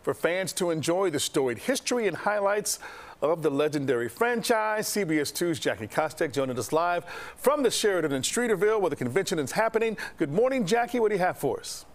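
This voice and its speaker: A male news anchor reads live from a TV studio in a polished, lively delivery. His voice sits slightly high and swings widely in pitch. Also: brisk.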